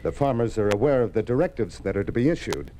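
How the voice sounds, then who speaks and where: Male actor as defiant young farm leader, animated inflection, insistent, persuasive, declamatory, 1950s radio drama. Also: fairly low pitch, fast talker, a little hiss or hum, lightly acted. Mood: firm, challenging. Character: earnest, defiant young farmers' political leader.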